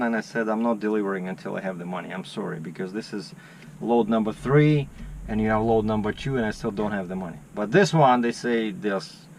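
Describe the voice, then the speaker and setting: Trucker telling a story. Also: male voice, mid-range voice, slight background noise, rough recording. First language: Russian. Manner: animated storytelling, acting out phone calls. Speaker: truck driver vlogger. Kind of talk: casual chat.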